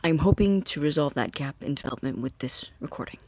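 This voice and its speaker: An actor playing an earnest, obsessive young scientist in an audio drama, dictating an experiment log in formal, hesitant phrases with a slightly husky voice. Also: male voice, on the high side, lively intonation, a little mellow, no room sound. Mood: earnest, proud. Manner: formal wording, hesitant, halting.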